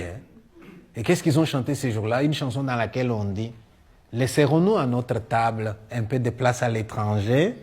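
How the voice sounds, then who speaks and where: A middle-aged man with a Congolese French accent tells comic stories in a one-man show, acting out dialogue in an animated way. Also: fairly high pitch. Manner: animated storyteller, acting out dialogue, punctuating with "hein". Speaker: stage storyteller and comedian.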